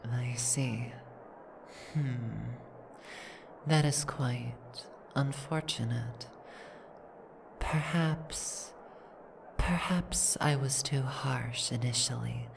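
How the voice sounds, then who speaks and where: A woman voicing a stern, imperious female dragon queen in an audio roleplay, speaking in a formal, regal, measured way. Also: extremely low pitch, steady tone, vocal fry, noisy background, full character voice. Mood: thoughtful, softened. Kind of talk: ASMR audio roleplay acting.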